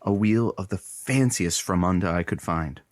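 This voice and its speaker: An actor playing a petty, mocking radio producer and announcer in a comedic audio drama, with halting patter and theatrical outbursts. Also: male voice, low-pitched, natural voice. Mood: feigned innocence.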